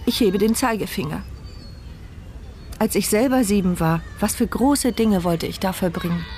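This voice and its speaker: A woman's voice: mid-range voice, moderate pace, darkish tone, natural voice. An actress playing a sceptical woman thinking to herself in a radio drama, dry, sardonic and reflective. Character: blind, mute woman's inner voice, wry, sceptical, brooding. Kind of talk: radio drama inner monologue.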